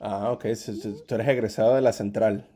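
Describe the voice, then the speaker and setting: A man's voice: on the low side, steady tone, moderate pace, warm tone. A man hosting an interview podcast, reading a scripted intro and then asking eager, friendly questions that often restart. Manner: eager, friendly questioning, repeats words when starting questions. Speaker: podcast host.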